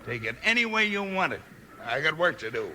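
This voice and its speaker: A man playing a gruff, impatient, bullying frontier trading boss who talks curtly and with menace in a 1950s radio western. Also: a little high, very animated, fairly quick, full character voice. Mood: menacing. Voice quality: forceful, hard-edged. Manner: barking, curt, menacing. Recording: noisy 1950s radio recording.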